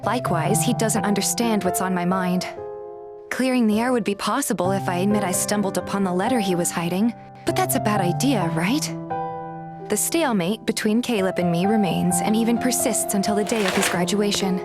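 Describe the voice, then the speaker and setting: A woman's voice: medium pitch, brisk, natural voice. A woman playing a guarded, feisty young heroine, narrating a romance game in the first person and acting out her dialogue. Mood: tense, reflective. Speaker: narrator and lead in a fan dub. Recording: music behind the voice. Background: music.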